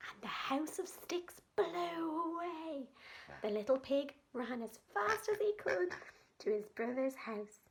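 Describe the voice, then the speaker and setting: A woman telling a story to young children, in simple phrases with pauses for her listeners. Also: sits high, expressive, gravelly, slight background noise.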